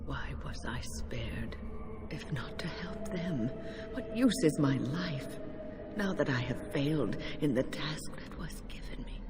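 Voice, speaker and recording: A woman's voice: sits low, lively intonation, slow pace, heavy background noise. Solemn older woman, expressively mournful. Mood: grief-stricken. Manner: solemn, formal, hushed in grief. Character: grieving, guilt-ridden older woman, stern and devout. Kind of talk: dramatic video game acting.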